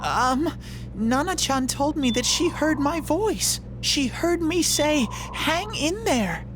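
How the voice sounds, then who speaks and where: A woman voicing a childlike, despondent male mascot creature in a video game, plaintive, halting and earnest. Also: high-pitched, lively intonation, background noise. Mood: earnest, dawning hope.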